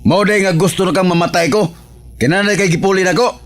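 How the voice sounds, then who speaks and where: A man's voice: sits high, fairly even intonation, fast talker, lightly acted. A man with a Cebuano accent acting an irresponsible, pleading husband who coaxes and whines in a radio drama. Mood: aggrieved. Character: irresponsible, wheedling husband, always broke and pleading.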